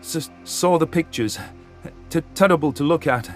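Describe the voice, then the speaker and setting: A man's voice: fairly high pitch, moderate pace, soft background music, voice pushed up, lightly acted. A man voicing a guilt-ridden, meek alien who stammers, in an audio drama. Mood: meek, remorseful. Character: guilt-ridden, meek male alien, remorseful former tormentor. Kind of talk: audio drama character acting.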